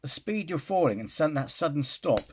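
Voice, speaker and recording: A man's voice: fairly high pitch, expressive, brisk, a little hiss or hum. A man giving his casual opinion in a film reaction vlog, rambling and restarting his sentences. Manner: rambling, restarts sentences, often says "you know".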